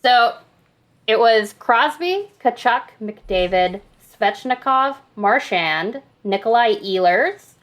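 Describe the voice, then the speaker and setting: A woman's voice: fairly high pitch, lively intonation, slow. A woman hosting a hockey trivia quiz on a podcast in casual, conversational talk.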